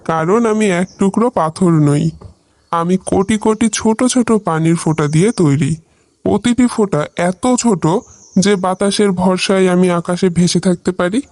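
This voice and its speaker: A man voicing a wise, playful talking rain cloud in an educational cartoon, explaining in a measured way and teasing with questions. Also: sits high, darkish tone, dry recording. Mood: patient, explaining.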